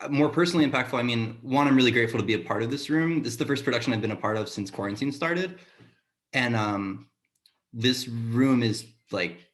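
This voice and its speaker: A man speaking casually and earnestly in a panel reflection, halting as they search for words. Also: medium pitch, fairly bright tone. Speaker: sound designer and audio engineer. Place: remote video call.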